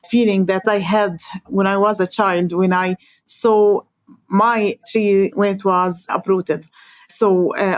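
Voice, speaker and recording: A woman from Palestine with a Palestinian Arabic accent, a native Arabic speaker, explaining her work as an interview guest in halting, hesitant phrases with frequent pauses. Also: medium pitch, no echo. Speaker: interview guest, environmental organization coordinator.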